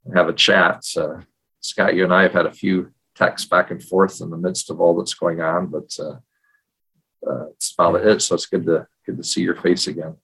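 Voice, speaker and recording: A middle-aged or older man co-hosting a podcast, talking casually in a measured, reflective way. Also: deep voice, little pitch movement, vocal fry, a bit bright, breathy edge, low-quality recording. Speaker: podcast co-host. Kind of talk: casual conversational podcast talk, personal storytelling. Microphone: video call.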